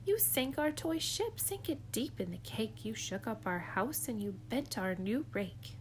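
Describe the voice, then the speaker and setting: A woman's voice: on the high side, very animated, measured pace, slight background noise. A woman voicing a fussy, worried pet fish who scolds indignantly. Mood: scolding.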